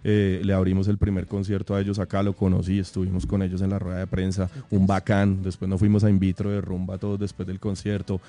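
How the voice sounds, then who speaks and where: Colombian guy from bogotá, lowish dark mellow voice, slangy casual storytelling as a guest. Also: monotone, fast talker, hardly any pauses. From Colombia, raised in Bogotá and Villavicencio. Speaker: interview guest, rock singer. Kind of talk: casual chat, telling anecdotes.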